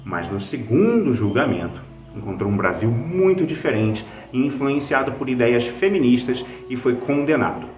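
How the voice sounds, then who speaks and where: A man's voice: mid-range voice, lively intonation, moderate pace, echoey room, soft background music. A Brazilian man hosting a recommendation video in a conversational, scripted review style. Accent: Brazilian Portuguese. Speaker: video host recommending podcasts.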